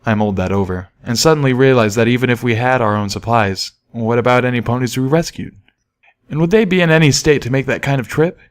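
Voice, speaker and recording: Guy reading a first person story out loud, average pitch, audiobook narration. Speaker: audiobook reader.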